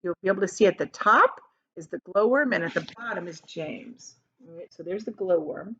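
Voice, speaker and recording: A woman reading a children's story aloud like a teacher, expressively, pausing to explain things in simple asides. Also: fairly low pitch, fairly bright tone, husky. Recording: dull, lo-fi sound.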